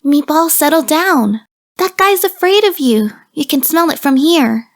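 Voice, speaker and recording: A woman voicing a reserved, skeptical female dog in an animated visual novel. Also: high-pitched, unhurried. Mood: wary. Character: wary, reserved, sensible female dog, small-voiced and grumbly.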